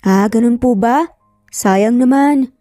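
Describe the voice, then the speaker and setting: A woman's voice: a little high, slow pace, mellow, dry recording, clean studio sound, soft background music. A woman voicing a friendly, polite and teasing male street-food vendor in a romance story.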